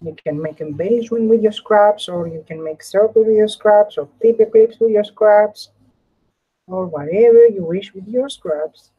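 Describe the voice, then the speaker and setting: A woman with a Greek accent and a husky, grainy voice at a medium pitch. She talks casually to viewers while demonstrating a craft, often repeating and rewording her explanations. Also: moderate pace, narrow, tinny audio. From Greece. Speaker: craft tutorial host.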